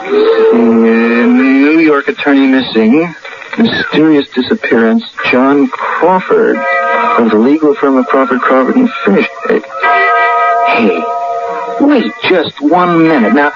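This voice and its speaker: An actor playing a chummy, practical best friend in a radio drama, casual and reassuring. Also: male voice, mid-range voice, animated, takes it slowly, loud music behind the voice. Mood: curious, helpful. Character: breezy, practical pal of a worried man. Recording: dull old radio broadcast.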